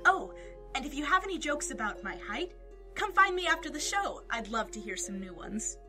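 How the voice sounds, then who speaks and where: A woman's voice: high-pitched, big pitch swings, moderate pace, pushed and bright, full character voice. A woman playing a cheeky, chirpy little gnome performer in a fantasy audio drama. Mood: cheeky, upbeat. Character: perky, cheeky female gnome performer, small. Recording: music under the voice.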